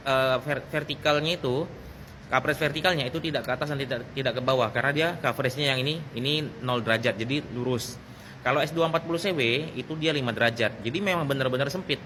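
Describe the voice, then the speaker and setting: A man's voice: mid-range voice, background noise. A man gives a casual, conversational explanation as a vlog host, repeating phrases for emphasis. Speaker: sound technician and video host.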